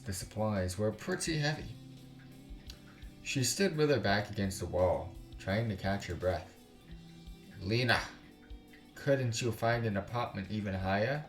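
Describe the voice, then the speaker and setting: A man doing casual let's play commentary and reading game text aloud in a halting way, with exasperated, slangy, sweary asides. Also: fairly low pitch. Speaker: gaming YouTuber, let's play host. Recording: roomy sound with music underneath.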